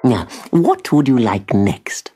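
A man's voice: medium pitch, huge pitch swings, takes it slowly, dark tone, soft background music. A man voicing a genial, eccentric old magician from a storybook, musing and hospitable. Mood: hospitable, relishing. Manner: musing, hospitable, with hums and chuckles.

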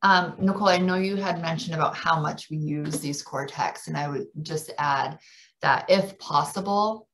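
A woman's voice: low voice, moderate pace, a bit bright. A woman presenting an informal training workshop for teachers over a video call.

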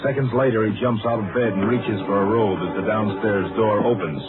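A man's voice: fairly low pitch, a little fast, music in the background, natural voice. A man as the solemn narrator of a crime case in a 1950s radio drama. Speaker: story narrator. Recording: tinny old radio recording.

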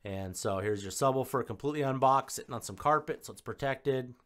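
A man with a mid-pitched voice presents a product unboxing in a casual way. He speaks off the cuff, rattling off specs in short, informal phrases. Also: a little fast. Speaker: home theater installer presenting a product unboxing. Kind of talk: casual unboxing and spec review.

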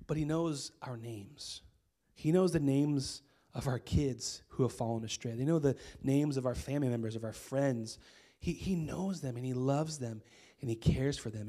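A man in his thirties with an American accent preaching a sermon on a church stage into a handheld mic, his voice hoarse from a cold, casual and conversational with many fillers. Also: mid-range voice, warm tone. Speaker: pastor. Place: church stage with string lights.

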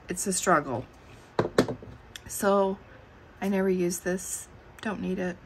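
A middle-aged woman losing her voice, chatting slowly and musing aloud as she flips through her planner at a desk. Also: on the low side, gravelly, background noise. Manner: slow and musing, thinking aloud. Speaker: planner channel host. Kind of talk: casual plan-with-me chat. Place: indoors at a desk.

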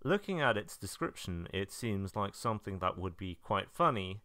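A man with a low, clean and resonant voice narrates semi-scripted review commentary. His intonation rises and falls a lot, yet his manner stays drily understated. The voice-over is close, with almost no room sound. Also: sing-song, moderate pace, sharp tone, dry recording. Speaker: video narrator reviewing visual novel releases.

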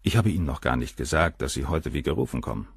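A proud professor of surgery in a crime radio play. His low voice rises and falls freely, dry and close. He speaks with composed, cold condescension. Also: animated, quick pace, gravelly, husky, no echo, heavily acted. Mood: cool, condescending. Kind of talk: German radio crime drama acting.

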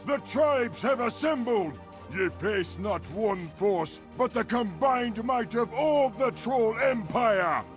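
Casting: troll war god, video game. Warlike, proud, very high for a male, slow and declamatory. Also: animated, faint music behind, exaggerated voice. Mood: defiant pride. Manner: booming battle proclamation. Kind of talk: video game character acting.

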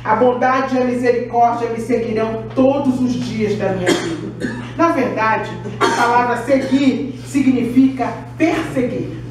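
A middle-aged woman pastor delivers an impassioned sermon in a high voice. She is picked up by a distant camera, so her words ring through a very echoey room. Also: measured pace, crowd noise. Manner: impassioned preaching with raised finger gestures.

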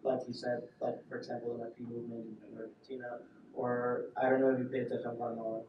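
A young man in the audience asking a halting question, searching for his words. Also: medium pitch, completely flat delivery, noisy background. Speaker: audience member, student. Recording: dull echoey room from far off.

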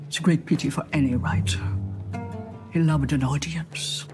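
An interviewee giving reflective commentary, deliberate and repeating phrases for emphasis. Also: medium pitch, fairly even intonation. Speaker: documentary interviewee. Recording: music under the voice. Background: plucked guitar music.

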